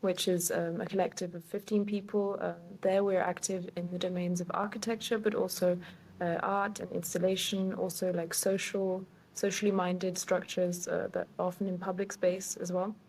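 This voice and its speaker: A woman in her thirties from Sweden speaks in a calm, low voice with little rise and fall. She pauses often with fillers as she explains. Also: slight background noise, rough recording. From Sweden, raised in several countries. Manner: calm, hesitant, many fillers. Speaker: architect, guest. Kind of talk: podcast interview answers.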